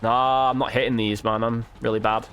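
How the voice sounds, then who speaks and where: A man's voice: mid-range voice, level tone, moderate pace, a bit bright, faint music behind. A man giving casual gameplay commentary, informally thinking aloud as he plays. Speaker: gaming video creator.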